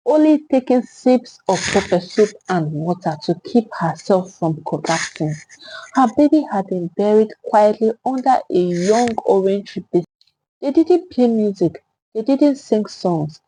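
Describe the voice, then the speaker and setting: A Nigerian woman narrates a dramatic tale in a mellow, slightly husky voice. Her delivery is solemn and theatrical, shaped as scripted storytelling. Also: mid-range voice, low-quality recording.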